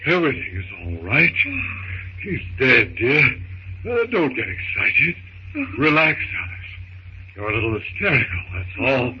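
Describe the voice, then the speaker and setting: A man's voice: mid-range voice, sing-song, a little slow, pauses often. A man acting a shaken husband who urgently soothes his wife in an old radio melodrama, on a muffled, noisy recording. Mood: soothing. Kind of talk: 1930s radio drama acting.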